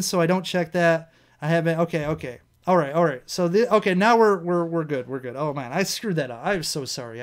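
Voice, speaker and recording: Rambling young Midwestern man, slightly high voice. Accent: Midwestern American. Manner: rambling, self-correcting, swears, laughs at himself. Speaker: vlog show host. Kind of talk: casual solo commentary, reading quiz items aloud.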